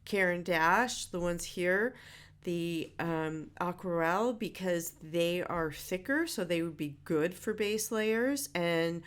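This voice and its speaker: A middle-aged woman who is a bit stuffy from a head cold, talking through a relaxed drawing tutorial in a chatty way, with many fillers and self-corrections. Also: fairly low pitch, takes it slowly, clean tone. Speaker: art instructor.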